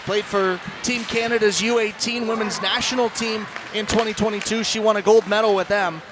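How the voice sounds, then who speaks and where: A high-pitched male play-by-play commentator calls live ice hockey in an animated, projected broadcast voice. Crowd sound and arena noise are clearly heard behind him. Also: moderate pace. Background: ice rink crowd.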